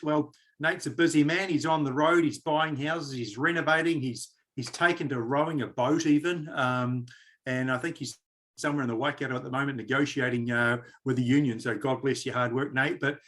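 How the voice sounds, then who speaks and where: A man's voice: mid-range voice, a bit bright. A middle-aged man with a New Zealand accent hosting a casual podcast chat, rambling and self-deprecating. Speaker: podcast host.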